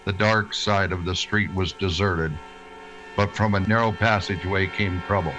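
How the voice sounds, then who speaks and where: An actor playing a stern, terse western marshal, commanding in dialogue and narration in a radio drama. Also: male voice, sits low, steady tone, moderate pace, music in the background, lightly acted, rough recording. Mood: grim narration. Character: stern, laconic frontier marshal, authoritative narrator.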